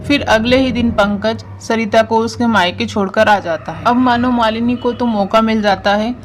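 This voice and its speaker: A woman's voice: on the high side, moderate pace, a little hiss or hum. A woman reading scripted story narration as the narrator of a tale.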